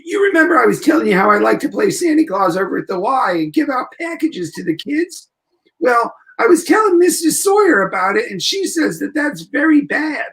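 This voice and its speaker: A man acts a naive young fellow from a forties radio drama. He is good-hearted but glum, with a high voice that wobbles up and down freely. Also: a bit bright, full character voice, rough recording. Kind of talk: 1940s radio acting.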